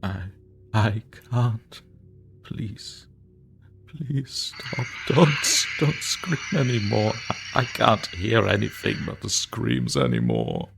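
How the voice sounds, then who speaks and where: A haunted, guilt-ridden man writes his journal in a horror story, deep and low, drifting between hushed whispers and frantic, pleading shouts, as a man puts him on. He sounds exhausted and terrified. Soft music hums faintly behind him. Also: fairly even intonation, slow, long pauses.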